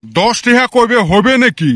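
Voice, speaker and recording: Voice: medium pitch, big pitch swings, measured pace. Someone doing a scolding village uncle, noisy recording. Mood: egging on. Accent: Goalpara rural Bengali dialect. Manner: exclaiming, rhetorical questions, scolding. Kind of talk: comic cartoon dialogue.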